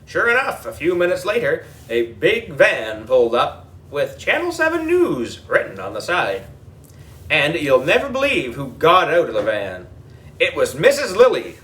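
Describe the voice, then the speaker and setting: A man's voice: fairly high pitch, wildly swooping pitch, moderate pace, fairly bright tone, recorded from a distance. A man narrating a children's audiobook in a playful, exaggerated storytelling manner. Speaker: audiobook reader.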